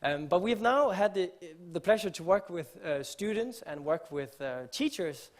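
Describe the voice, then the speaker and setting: Man, fairly high voice, earnest stage talk, fillers and restarts. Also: animated, moderate pace. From Denmark. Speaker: conference speaker. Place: conference stage before an audience.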